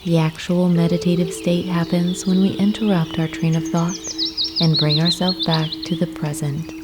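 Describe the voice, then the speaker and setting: A woman narrating a guided meditation, giving gentle, soothing instructions with unhurried pauses. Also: fairly low pitch, level tone, background noise. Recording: clear nature ambience with birdsong. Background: birdsong and nature ambience.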